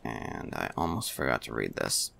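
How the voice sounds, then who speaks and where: A man's voice: extremely low pitch, sing-song, moderate pace, creaky, pushed and bright, a little hiss or hum. A man giving casual gameplay commentary, offhand and thinking aloud. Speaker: video game player and commentator.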